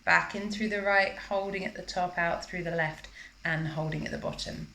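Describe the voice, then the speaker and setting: Female yoga instructor, slightly low voice, calm measured guidance for breathwork, recorded live in a reverberant space. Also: a little hiss or hum. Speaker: yoga teacher. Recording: roomy, echoing live class recording.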